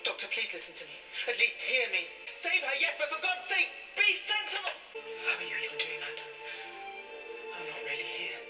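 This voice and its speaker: An actress playing a commanding, wry and heartfelt ghostly lover in a TV drama, imploring and knowing. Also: female voice, high voice, huge pitch swings, fairly quick, over music, low-quality recording. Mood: pleading. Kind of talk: TV drama acting. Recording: TV playback under loud score.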